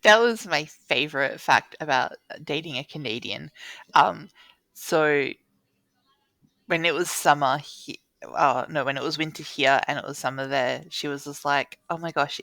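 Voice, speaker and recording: Bright, creaky-voiced Australian woman chatting. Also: sits low, moderate pace, no room sound. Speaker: podcast co-host. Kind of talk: casual chat, anecdotes.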